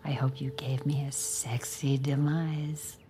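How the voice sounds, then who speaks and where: A woman's voice: very deep voice, flat delivery, takes it slowly, warm tone, slight background noise. An actress playing Diana's spiteful older mother, who mocks and threatens her daughter in a theatrical, menacing way. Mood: taunting.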